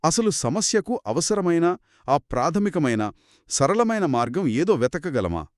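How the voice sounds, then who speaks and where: A man's voice: on the high side, very animated, unhurried, no echo, clean studio sound. A man co-hosting a conversational story discussion in an analytical, explanatory way. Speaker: podcast co-host.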